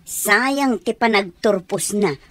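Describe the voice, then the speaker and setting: A woman's voice: medium pitch, takes it slowly, fairly bright tone, dry recording. An actress playing a concerned, sympathetic female teacher in an Ilocano radio drama, speaking in a lamenting way. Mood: worried, sympathetic.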